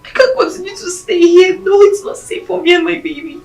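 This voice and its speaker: An actress playing a frightened pregnant young maid who speaks timidly and pleads. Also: female voice, fairly high pitch, moderate pace, dark tone, faint music behind, natural voice. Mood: pleading. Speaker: actress in a domestic skit.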